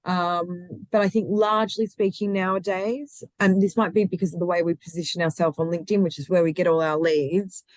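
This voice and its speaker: A woman's voice: on the low side, steady tone, brisk, few pauses, no room sound. A woman talking passionately as an interview guest, often restarting her sentences and laughing easily. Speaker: guest, recruitment founder. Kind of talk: conversational interview.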